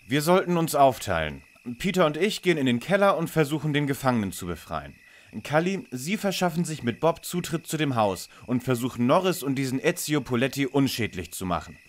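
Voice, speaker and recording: A man's voice: mid-range voice, very animated, brisk, natural voice. A man playing a bossy know-it-all boy detective leader in a parody audio drama, articulate and commanding.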